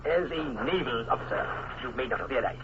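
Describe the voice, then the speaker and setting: A man's voice: medium pitch, lightly acted. A man playing a grimly logical Prussian colonel. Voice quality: precise, formal, deliberate phrasing. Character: stern Prussian colonel, coldly logical, fatalistic. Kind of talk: 1950s radio drama acting. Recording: noisy lo-fi old radio recording.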